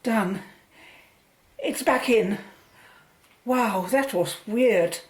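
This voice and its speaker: A woman playing an earnest, astonished scientist in a radio drama, with a halting, wondering delivery. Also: a little high. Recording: roomy, echoing sound.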